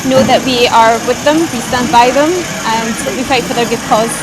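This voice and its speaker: A woman's voice: fairly high pitch, steady tone, normal speed. A woman originally from Iraq being interviewed outdoors, speaking earnestly and steadily as a campaigner. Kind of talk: street interview. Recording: loud street traffic noise.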